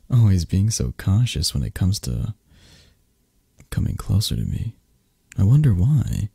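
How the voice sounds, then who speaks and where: A man playing a possessive, flirtatious mafia boss in an intimate ASMR romance roleplay, murmuring sleepily and teasingly with a breathy, husky voice. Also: fairly low pitch, warm tone, no echo, studio quality, exaggerated voice.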